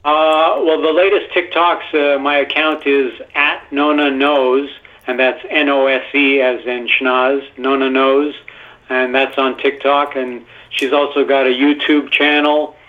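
A man's voice: mid-range voice, level tone, measured pace. Man, native Italian speaker, interview guest, easygoing and rambling, thin phone-line sound. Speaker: guest, actor and producer. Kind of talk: casual interview chat. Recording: phone-like remote call audio.

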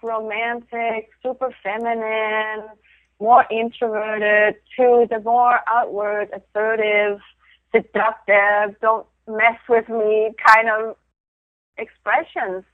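Middle-aged woman from denmark on a tinny phone line, a bit high, laughs a lot, lots of restarts. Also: no room sound. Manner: reflective, laughs often, many restarts. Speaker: interview guest, photographer of women. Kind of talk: casual conversational interview.

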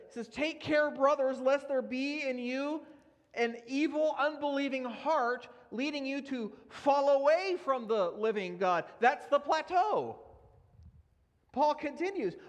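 A man preaching a sermon, earnest and emphatic as he works through scripture readings. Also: very high voice. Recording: long reverb of a large hall.

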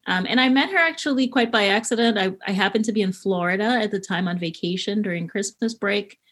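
A woman's voice: mid-range voice, a little fast, a bit bright, husky. Middle-aged woman from Haiti telling stories. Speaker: podcaster and filmmaker being interviewed. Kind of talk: conversational interview storytelling.

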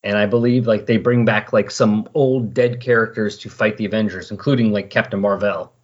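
A man with a husky voice chatting casually on a podcast, explaining and recapping in a rambling way. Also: lowish voice, steady tone, a little fast. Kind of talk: casual comic book discussion. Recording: dull lo-fi voice recording.

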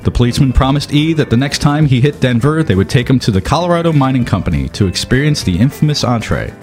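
Lively male storyteller over music. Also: mid-range voice, quick pace. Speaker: podcast narrator. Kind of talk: scripted comic narration.

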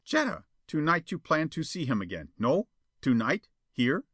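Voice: mid-range voice, sing-song, normal speed, fairly bright tone, no room sound, voice pushed up. A man voicing a crafty, accusing male villain in a storybook, sly, needling and insistent. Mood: accusing, excited.

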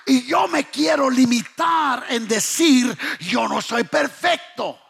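A man preaching a fervent sermon with a raspy, strained voice, emphatic and repetitive, swinging between hushed lines and shouted exhortation. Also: very high voice, slow. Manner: emphatic, rhetorical questions, repeating phrases for effect.

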